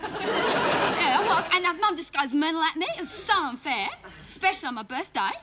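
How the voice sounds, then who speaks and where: A woman's voice: very high-pitched, animated, moderate pace, heavily acted. A woman playing a bratty, indignant Australian schoolgirl in a comedy monologue, telling tales in a slangy run-on way and mimicking her family. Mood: aggrieved. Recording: loud background noise.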